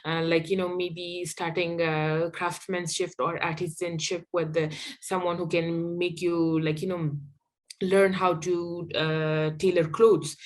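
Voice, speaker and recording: A young woman with a Pakistani accent, a guest in a casual interview, talking quickly and leaning on fillers like "like, you know". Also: lowish voice, flat delivery. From Afghanistan.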